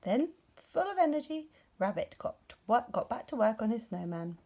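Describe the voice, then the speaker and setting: Animated woman reading a children's story. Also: fairly high pitch, normal speed. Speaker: storybook reader. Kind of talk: expressive children's story narration.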